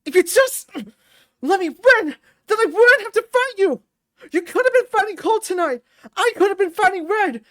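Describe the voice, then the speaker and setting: Woman acting a furious, shouting young male fighter. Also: extremely high pitch, animated, normal speed, dry recording, clean studio sound, voice pushed up. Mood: furious, defiant. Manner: shouting, swearing, confrontational.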